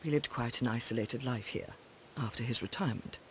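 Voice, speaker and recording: A woman's voice: very deep voice, fairly quick, a little hiss or hum. A woman playing a respectable, sorrowful middle-aged widow in a video game, speaking formally and hesitantly. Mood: troubled, recounting. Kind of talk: scripted game dialogue.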